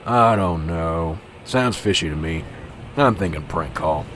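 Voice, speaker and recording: A man's voice: low-pitched, lively intonation, unhurried, a crowd in the background. A man playing a cynical, weary veteran police officer in an amateur radio drama, grumbling, sarcastic and dismissive. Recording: clearly noisy, vehicle-like background ambience.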